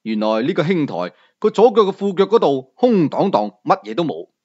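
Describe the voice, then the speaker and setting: A man's voice: sing-song, fairly quick, a little mellow, lo-fi sound. A man narrating a novel in the first person as a storyteller, in an audiobook reading. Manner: first-person storytelling, rising and falling pitch.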